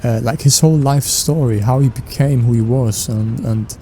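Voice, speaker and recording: A man's voice: medium pitch, normal speed, warm tone, a little hiss or hum. A young Danish man with a Danish accent, chatting casually while playing, with many fillers and restarts. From Denmark. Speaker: gaming YouTuber. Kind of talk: casual gameplay chat.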